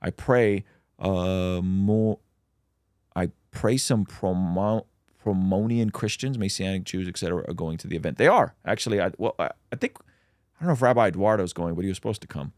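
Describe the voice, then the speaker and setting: A man's voice: sits low, moderate pace, darkish tone, no room sound, studio quality. A man, a native Russian speaker, hosting a casual livestream where he reads out chat questions and answers them with plenty of ums.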